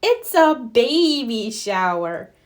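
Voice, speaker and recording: A woman voicing a patient, gentle mother turtle in a children's storybook. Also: on the high side, big pitch swings, slow. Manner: soothing, reassuring.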